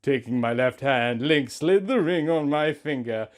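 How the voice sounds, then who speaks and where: A man in his thirties reading a story aloud in a melodramatic, mock-sentimental comic style, swinging between hushed and loud. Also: medium pitch, animated, a little slow. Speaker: comic host reading out fan fiction.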